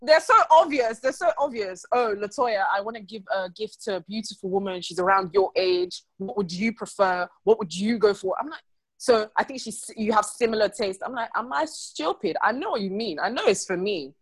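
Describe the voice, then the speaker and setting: A woman's voice: mid-range voice, moderate pace, no room sound. A woman chatting on a podcast, telling stories with energy and acting out the people in them. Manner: animated storyteller, acts out customers. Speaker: guest. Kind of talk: casual podcast chat.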